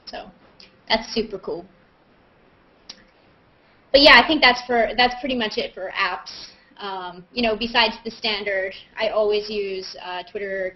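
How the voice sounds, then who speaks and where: A woman chatting casually and playfully as she hosts a live question-and-answer video call. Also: mid-range voice. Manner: playful, joking, self-mocking. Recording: muffled, lo-fi internet video call.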